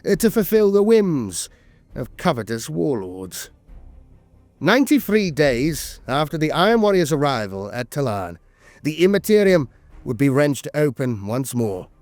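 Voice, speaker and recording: A man delivers scripted lore narration in a grave, dramatic manner. His voice sits a little high and moves widely in pitch. It is recorded close and dry, with music underneath. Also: a little mellow, no room sound.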